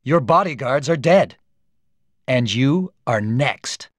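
An actor playing a stern, honorable ninja in a video game, speaking in a formal, solemn, measured way. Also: male voice, medium pitch, lively intonation, no room sound, clean studio sound. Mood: grim, threatening. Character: stern, honorable male ninja avenger, calm and grim. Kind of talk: video game cutscene acting.

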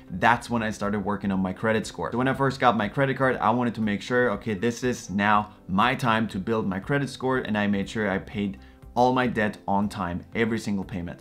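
A man in his thirties from Austria, talking to camera from a dim living room, explaining credit in an earnest, hands-on way. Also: lowish voice. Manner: earnest, explanatory, gestures a lot while talking. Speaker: finance YouTuber. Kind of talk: direct-to-camera advice talk.

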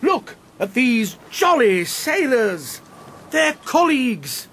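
High-pitched, pompous adventurer. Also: male voice, big pitch swings, slow, fairly bright tone, a little hiss or hum, exaggerated voice. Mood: indignant, pleading. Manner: theatrical, pompous, crisply enunciated. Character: bombastic Gallifreyan Time Lord adventurer, middle-aged man. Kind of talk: audio drama with comic operetta patter. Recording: music behind the voice.

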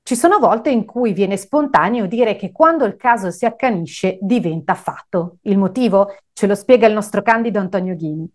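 A woman in her thirties presenting news links in a clear, composed way from a studio. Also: mid-range voice, expressive, moderate pace, few pauses. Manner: clear, composed link reading. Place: indoor studio with framed pictures. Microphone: lapel mic.

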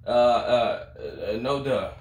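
A man's voice: mid-range voice, slow. A young man giving casual, amazed reaction commentary as a video host, repeating words for emphasis, recorded indoors a little way from the camera. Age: young adult. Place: small room indoors, beside a TV. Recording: roomy, echoey indoor sound.

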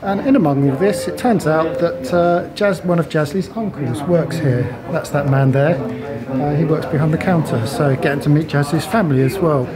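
A man's voice: on the high side, normal speed, loud music behind the voice. A middle-aged man chatting casually to camera as he vlogs on location. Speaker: vlogger. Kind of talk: casual vlog narration to camera. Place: on location: streets, car, offices. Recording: clear ambient noise, traffic and rooms.

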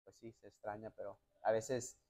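Halting young man, restarts, English slipped in. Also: fairly low pitch, level tone, mellow. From United States. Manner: halting, many restarts and "me entiendes" tags, mixes in English words. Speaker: national team footballer, interview guest. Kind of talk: casual interview answers. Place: hotel lounge sofa, indoors.

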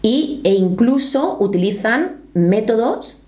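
A woman's voice: a little high, animated, slow pace, warm tone. A woman, talking earnestly to camera from a small home studio and reading quotes aloud. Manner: earnest, explanatory, gestures with her hands. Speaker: youtuber commentator.

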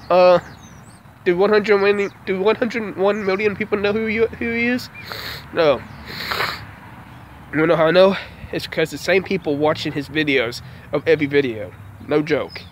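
A young man in his mid-twenties vents into a phone held at arm's length outside a house, his voice sitting a little high for a man. He sounds frustrated and dejected, circling back over the same complaints. Faint street noise hums behind him. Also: normal speed, long pauses. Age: 20s. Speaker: small vlogger. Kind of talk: casual vlog rant. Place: outdoors beside a house.

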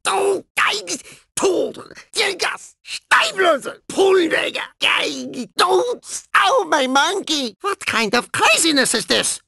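Casting: mad old cyborg villain, game. German accent, bright forceful high voice, swooping delivery, gleeful menace. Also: male voice, slow, gravelly, no echo, clean studio sound, heavily acted. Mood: frantic, pained. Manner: hammy, excitable, gleefully menacing, interjecting "yeah?". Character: deranged gleeful old German cyborg theme park owner. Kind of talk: video game character voice clips.

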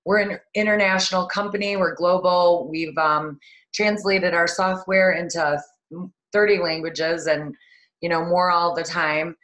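A woman with a fairly low voice hosts a product webinar over a video call. She talks in a chatty, easygoing way, with many ums and uhs along the way. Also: fairly even intonation, a little slow, a bit bright, poor audio. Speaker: marketing manager presenting a webinar. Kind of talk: casual webinar presentation.

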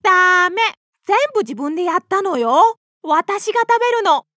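A woman's voice: very high-pitched, sing-song, measured pace, sharp tone, no room sound, studio quality, pitched above the performer's own voice, heavily acted. A woman voicing a hardworking, spirited female hen in a children's cartoon. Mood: indignant. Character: hardworking little red hen, female, eager then indignant.

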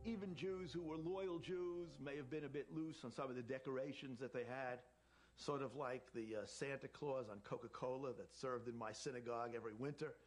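A man's voice: mid-range voice, fairly even intonation, brisk, soft background music. A man, a scholar being interviewed, making skeptical, conversational points with wry asides. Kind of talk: interview commentary.

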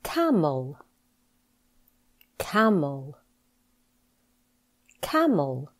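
A woman English teacher reading scripted pronunciation practice with deliberate, careful enunciation. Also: low-pitched, sing-song. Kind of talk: scripted lesson narration, reading words for practice.

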